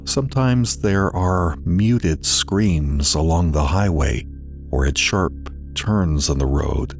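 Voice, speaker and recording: Very deep-voiced man narrating horror over music. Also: animated. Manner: slow, deliberate, dramatic delivery with pauses. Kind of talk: dramatized scripted horror narration.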